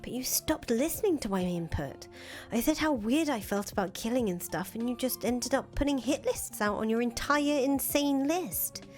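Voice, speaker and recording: Woman playing visual novel fangirl: young, soft-hearted, worried, hesitant and earnest, music underneath. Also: a little high, expressive, moderate pace, hardly any pauses, lightly acted. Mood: upset, reproachful. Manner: hesitant, self-deprecating, earnest. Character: anxious, disillusioned young fangirl, soft-hearted conscience of a revolutionary. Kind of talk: visual novel dialogue reading. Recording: music behind the voice.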